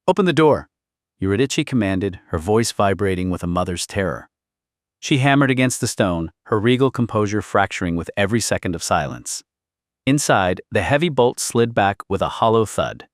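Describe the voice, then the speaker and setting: Low-pitched man narrating a dramatic saga. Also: no echo, studio quality. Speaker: audiobook narrator.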